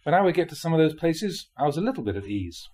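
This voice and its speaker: A man reading a spiritual talk aloud in a measured, explanatory way, often posing rhetorical questions. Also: on the high side, sing-song, darkish tone. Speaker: monk reading dharma talks aloud.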